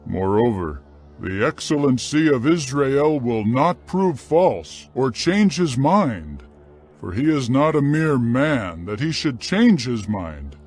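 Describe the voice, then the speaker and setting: Man voicing a stern prophet, emphatic and slow. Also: medium pitch, big pitch swings, darkish tone, husky, pitched above the performer's own voice, full character voice. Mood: grave judgement. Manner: solemn, condemning, emphatic. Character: stern old male prophet rebuking a disobedient king. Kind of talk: dramatized scripture reading.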